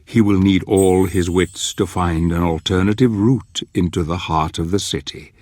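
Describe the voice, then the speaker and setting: An actor playing a solemn chronicler in a dark fantasy game, narrating in a grave, measured, theatrical way. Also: male voice, low voice, fairly even intonation, no echo, clean studio sound. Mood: grave, foreboding.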